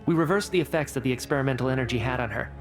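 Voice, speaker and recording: An actor playing a brisk, coolly rational scientist in a video game, articulate and clinical as he reports. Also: mid-range voice, faint music behind, lightly acted. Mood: apologetic, brisk. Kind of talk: video game cutscene acting.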